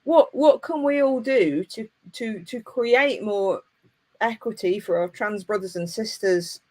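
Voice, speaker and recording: A woman's voice: medium pitch, a little slow, darkish tone. Passionate woman host asking rhetorical questions. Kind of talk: live video interview, casual conversation.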